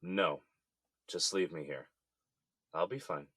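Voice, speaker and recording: An actor with an American accent playing a regretful, resigned middle-aged husband in jail, subdued and conceding, in an audio drama. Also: male voice, sits low, takes it slowly, a bit bright, breathy edge, no echo, clean studio sound, exaggerated voice. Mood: resigned, heavy.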